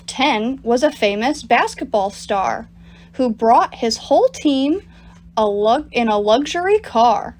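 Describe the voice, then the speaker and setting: Slow, animated woman reading picture books. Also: a little high, a little hiss or hum. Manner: animated, deliberate storytelling. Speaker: storytime reader at a public library. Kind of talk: expressive picture-book read-aloud for children.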